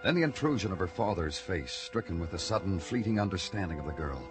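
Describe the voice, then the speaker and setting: Low-voiced, hard-boiled American radio detective. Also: male voice, animated, loud music behind the voice. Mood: brooding. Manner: clipped, brooding, deliberate, hard-boiled. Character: terse, world-weary male police detective narrating his case. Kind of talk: 1950s noir radio drama narration and dialogue.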